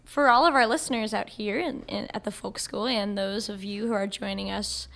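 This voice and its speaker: A woman's voice: medium pitch, moderate pace, vocal fry, a bit bright. A woman co-hosting a live podcast in a coffee parlor in a casual style. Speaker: podcast co-host.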